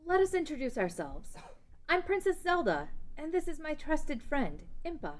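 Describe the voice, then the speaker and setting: A woman's voice: high voice, very animated, normal speed. A woman playing a stubborn, brave young princess in a fantasy table read. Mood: earnest.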